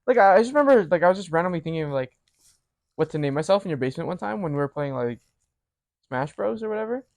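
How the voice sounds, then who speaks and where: A man's voice: high-pitched, lively intonation, fast, vocal fry, no room sound. A young man in a casual chat with friends, making dry joking remarks.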